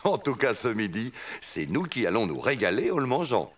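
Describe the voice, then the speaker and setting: A man voicing a gentle, patient father bear who softly explains things in a preschool cartoon. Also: medium pitch, very animated, normal speed, a little hiss or hum, natural voice. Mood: gentle, matter-of-fact. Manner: soft-spoken, patient, explanatory. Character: gentle patient father bear, adult male, teaching his cubs. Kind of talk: French preschool cartoon dialogue. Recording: music behind, slightly distant voice.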